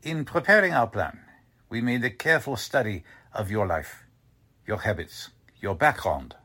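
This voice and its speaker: An actor playing a courteous, cold German Nazi agent who makes veiled threats in a deliberate, suave way, in old-time radio drama style. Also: male voice, on the low side, expressive, bright, forceful tone. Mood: sly, taunting.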